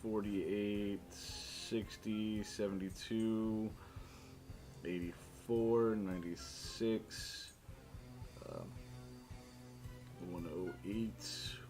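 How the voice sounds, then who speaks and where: A man's voice: on the low side, steady tone, very slow, pauses often, over music. A man narrating a tabletop role-playing game as game master in a conversational way.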